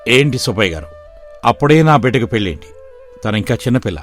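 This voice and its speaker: A gentle village father with an even, middle-pitched voice, as a man voices him. He speaks calmly and tenderly, with a protective, cautious manner. Light music runs underneath. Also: fast, close to an everyday voice. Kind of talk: animated storybook voice acting.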